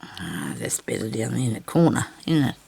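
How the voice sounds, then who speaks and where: An older Australian woman with a low, husky voice roughened by a lingering cough, chatting casually and self-deprecatingly as she rambles through a craft video. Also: big pitch swings, normal speed, dark tone. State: lingering cough after weeks of sickness. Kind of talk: casual chat while hand stitching.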